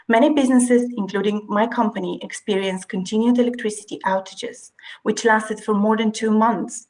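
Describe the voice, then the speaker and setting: A woman with a Bulgarian accent speaks as a podcast guest at a middle pitch. She tells her story in a measured, detailed way, pausing now and then, over a video call mic. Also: poor audio. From Bulgaria, Russia and Cyprus. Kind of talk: conversational interview.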